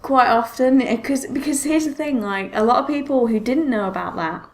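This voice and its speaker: A woman's voice: fairly high pitch, normal speed, darkish tone. A woman co-hosting a podcast in a casual conversational style. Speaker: podcast co-host. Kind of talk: casual podcast chat about games.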